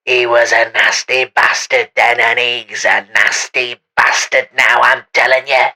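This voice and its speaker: A man playing a bitter, indignant former cafe worker with a British accent, loud and gossipy, in a comedy sketch. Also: medium pitch, level tone, unhurried, poor audio.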